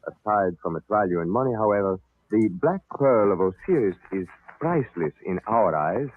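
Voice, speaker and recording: A man playing a suave, menacing Egyptian high priest in a vintage radio serial, speaking formally and deliberately with a put-on foreign accent. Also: lively intonation. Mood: suave, explanatory. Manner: formal, courteous, deliberate, theatrical. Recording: tinny, band-limited old radio recording.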